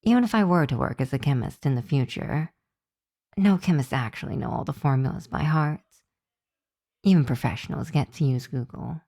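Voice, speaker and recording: Woman doing a teasing vampire college girl, asmr roleplay, mellow slow voice, very dry close sound, playful. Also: a bass voice, no echo, exaggerated voice. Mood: teasing, argumentative. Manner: playful, teasing, drawn-out delivery with breathy asides.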